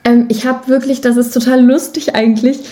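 Mellow-voiced woman chatting as podcast guest. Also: high voice, little pitch movement, brisk. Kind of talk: casual interview chat.

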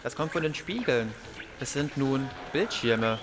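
A man's voice: mid-range voice, expressive, a little slow. A man playing an observant, factual member of an adventuring crew in radio play dialogue. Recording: clear background noise.

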